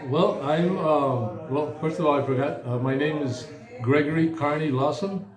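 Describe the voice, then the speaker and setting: A man in his fifties from the United States, an attorney answering interview questions slowly with many pauses and fillers. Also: on the high side, roomy sound, crowd noise, poor audio. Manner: slow, hesitant, many fillers.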